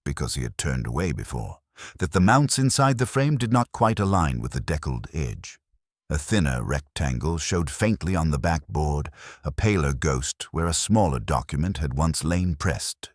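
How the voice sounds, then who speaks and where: A man narrates literary fiction in a very deep voice with lively rises and falls. His delivery is formal and wryly measured, with a husky grain. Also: dry recording, studio quality. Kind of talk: scripted literary audiobook narration.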